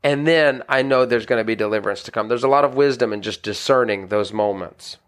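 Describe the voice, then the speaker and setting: A man's voice: medium pitch, fairly quick. A married man, a pastor, teaching scripture on a podcast in an earnest, conversational way with many restarts. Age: adult, married. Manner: earnest, conversational, frequent restarts and "you know".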